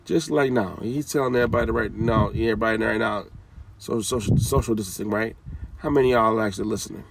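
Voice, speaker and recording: A man's voice: on the low side, quick pace, creaky, far from the mic, background noise. A man giving an informal, scolding talk with casual slang, often repeating his phrases.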